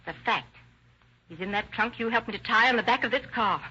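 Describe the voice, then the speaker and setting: A woman's voice: on the high side, quick pace, lightly acted. An actress playing a desperate, frightened American woman, pleading and melodramatic, in an old-time radio drama. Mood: grave, fearful. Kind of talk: 1940s radio drama acting. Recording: dull, noisy vintage radio recording.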